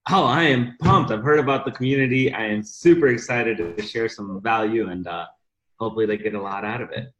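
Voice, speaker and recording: A man's voice: fairly low pitch, fairly quick, fairly bright tone, rough recording. A young man from Bosnia, a podcast guest telling his story in an upbeat, enthusiastic way. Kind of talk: casual interview chat.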